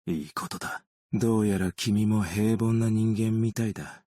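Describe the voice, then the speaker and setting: A man voicing a weary, gentle, long-lived optician in a video game, measured, understated and quietly melancholic. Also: lowish voice, no echo, studio quality, close to an everyday voice. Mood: weary, resigned. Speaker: video game character voice actor. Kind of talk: scripted game character voice lines.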